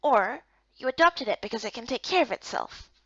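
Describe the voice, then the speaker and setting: A woman's voice: a little high, lively intonation, fairly quick, sharp tone, breathy edge, no echo, lo-fi sound, exaggerated voice. A woman voicing a patient, helpful male guild guide in a visual novel game, speaking politely and instructively. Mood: polite, questioning.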